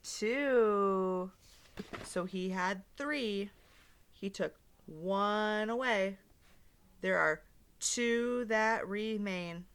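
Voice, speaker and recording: Slow-paced woman reading to young children. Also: mid-range voice, slight background noise. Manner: animated, expressive storybook delivery with simple explanations and questions. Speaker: teacher reading a picture book aloud to young children. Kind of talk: interactive read-aloud lesson with questions to listeners.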